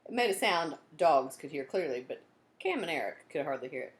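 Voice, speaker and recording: A woman reading a children's book aloud in an expressive storytelling style. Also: low voice, fairly quick, reverberant. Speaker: storybook reader.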